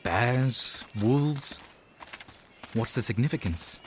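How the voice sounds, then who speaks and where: An actor playing a steady, concerned and slightly dry male companion in an audio drama. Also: medium pitch, slow pace. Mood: questioning. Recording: clear background noise with clicks.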